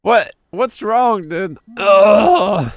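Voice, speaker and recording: Hoarse gravelly man in his thirties. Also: lively intonation, extremely slow, no room sound. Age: 30s. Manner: drops into a deep storyteller voice for the narration. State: hoarse, sore throat. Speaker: streamer. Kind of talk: live game playthrough, reading story text aloud.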